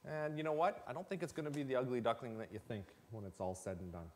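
A man in his forties talks through a hands-on build in a folksy, matter-of-fact way. His pitch is middling for a man. He is recorded in a garage workshop a few metres from the camera, with faint noise and clicks behind him. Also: fast. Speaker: host of a snowmobile build series. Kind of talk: casual how-to workshop talk. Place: indoor garage workshop full of tools.

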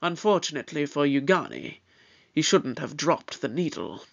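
A man's voice: a little high, big pitch swings, moderate pace, creaky, fairly bright tone, highs cut off, full character voice. A man playing a bold, moralizing alien time-traveler in an audio drama, in a declamatory, pompous manner. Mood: explanatory.

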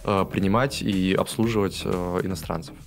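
A man in his thirties, a guest in a studio interview, explaining his tourism project with many pauses and fillers. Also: deep voice, fairly even intonation, vocal fry, faint music behind. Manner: explanatory, with frequent hesitations. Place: radio studio. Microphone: studio mic on stand.

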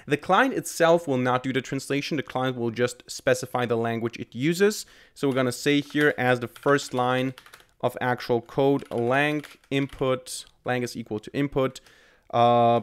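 A man's voice: medium pitch, clean tone. A man in his twenties, a native German speaker, explaining code as a tutorial host into a close desk microphone. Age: 20s. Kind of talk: explanatory screencast narration. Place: small indoor room at a desk. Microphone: desk microphone close in front. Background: keyboard typing.

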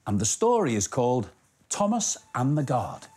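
A middle-aged man presents for children as a station master. His delivery is highly animated, expressive and carefully enunciated, at a medium pitch, with some music in the background. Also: moderate pace, slightly rough.

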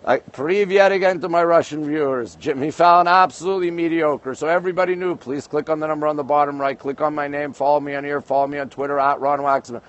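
A man from Montreal, Canada hosting a casual livestream from a high-rise balcony at night, greeting viewers by place and reading comments aloud. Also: fairly even intonation, fast talker, hardly any pauses, muffled recording. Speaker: livestream host. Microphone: phone camera held by speaker.

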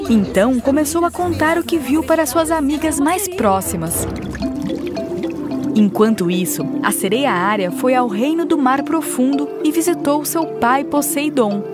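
Woman narrating a cartoon fairy tale. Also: high voice. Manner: steady, expressive storytelling. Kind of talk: scripted storybook narration. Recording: cartoon narration with music behind.

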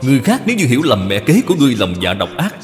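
A man voicing a stern, quick-tempered father from old China in a cartoon, with a scolding, commanding manner. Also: medium pitch, big pitch swings, lightly acted. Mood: angry, commanding. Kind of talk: cartoon dubbing. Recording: long reverb, music behind.

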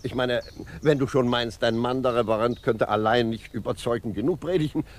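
An actor playing a wry, defiant old retired judge in a radio drama, musing ironically. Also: male voice, medium pitch, a little fast, lightly acted. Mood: mocking. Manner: musing, ironic, chuckling rhetorical questions. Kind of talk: 1950s German radio drama acting. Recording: noisy 1950s radio recording.

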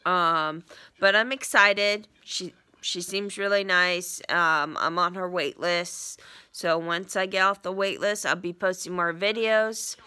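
A young woman talks into her phone from the couch, her voice stuffy and congested from a sinus infection. Her tone sits fairly low yet bright and clear, and she rambles along with plenty of ums and restarts. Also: fairly even intonation, takes it slowly. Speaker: vlogger. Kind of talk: casual vlog update. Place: small living room indoors, on a couch. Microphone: phone front camera at arm's length.

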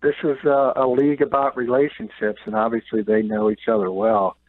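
A man's voice: mid-range voice, little pitch movement, a little fast, dry recording. Man on a tinny phone line. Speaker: NFL columnist guest. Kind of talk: sports radio analysis by phone.

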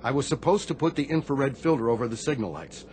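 An actor playing a guilt-ridden, anguished middle-aged Navy sailor in a radio drama, confessing haltingly to his superior. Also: mid-range voice, a little mellow, slight background noise, lightly acted. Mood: haunted, subdued. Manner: halting, confessional, respectful of rank.

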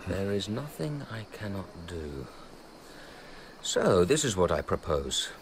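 A man's voice: deep voice, sing-song, slow, creaky, exaggerated voice. An actor playing a proud, generous young nobleman of ancient times in a radio drama, with a grave, declamatory delivery. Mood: magnanimous. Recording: radio drama with faint background noise.

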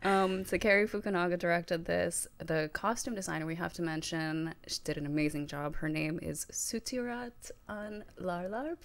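Chatty woman podcaster, slightly low voice. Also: normal speed, vocal fry, few pauses. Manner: chatty, many fillers and restarts, laughs often. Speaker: podcast co-host. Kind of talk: casual film chat. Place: living room studio. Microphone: handheld dynamic mic with headphones.

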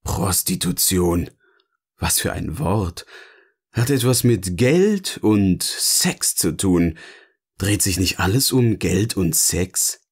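Ein Mann spielt in einem Hörspiel einen eloquenten, sardonischen Erzähler, der bedächtig und theatralisch philosophiert. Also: male voice, sits low, lively intonation, slow, slightly rough, heavily acted. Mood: sardonic. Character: eloquent, sardonic male narrator finally free to speak. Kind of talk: scripted audio drama dialogue, philosophical musing.

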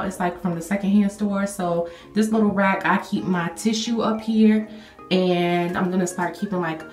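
A woman walks through her freshly cleaned small bathroom with enthusiasm, chatting casually with a few ums. Her phone mic picks up the tiled room's strong echo, and faint music sits behind her. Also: mid-range voice. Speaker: cleaning vlogger. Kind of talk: casual walkthrough of a finished bathroom.

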